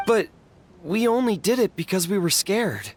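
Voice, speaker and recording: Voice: mid-range voice, expressive, moderate pace, a little mellow, faint music behind, close to an everyday voice. An actor voicing a timid, honest, worried townsperson in a fantasy game, with a hesitant and apologetic manner. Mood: fearful. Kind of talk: animated game character acting.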